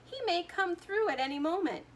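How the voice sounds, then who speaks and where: A woman voicing an eccentric, excitable male chocolatier with theatrical exclamations in a storybook reading. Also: extremely high pitch, moderate pace, a little hiss or hum, voice pushed up. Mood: fretful.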